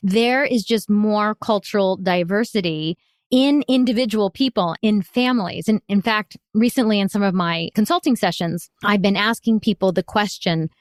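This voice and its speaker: A woman, a consultant telling stories as a podcast guest in an enthusiastic, expressive way. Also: medium pitch, normal speed, no room sound, clean studio sound. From United States. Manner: enthusiastic, expressive, laughs often. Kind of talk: storytelling in an interview.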